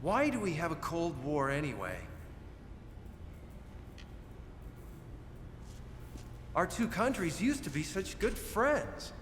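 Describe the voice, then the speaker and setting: An actor playing a friendly, lonely Soviet prison guard in a cinematic game, earnest, hesitant and chatty. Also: male voice, on the high side, huge pitch swings, moderate pace, fairly bright tone. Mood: wistful, lonely. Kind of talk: cinematic video game acting. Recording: echoey reverberant cell, faint noise.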